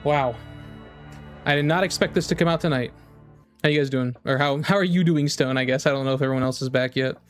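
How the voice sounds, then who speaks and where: A man's voice: medium pitch, fast, clear, resonant tone. Rambling male streamer over music. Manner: rambling, repeats phrases. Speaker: game streamer. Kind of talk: casual livestream chat.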